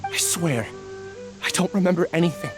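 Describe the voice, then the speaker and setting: A man's voice: sits high, animated, normal speed. A man playing a timid young male researcher who speaks hesitantly and apologetically in a video game. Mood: anxious. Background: game music.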